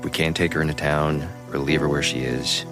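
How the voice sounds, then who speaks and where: A grim, pragmatic man in an audio thriller. His voice is extremely deep and stays level and terse, with music playing underneath. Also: fairly even intonation, brisk. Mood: grim, tense. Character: grim, pragmatic man covering up a friend's death. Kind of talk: audio drama acting.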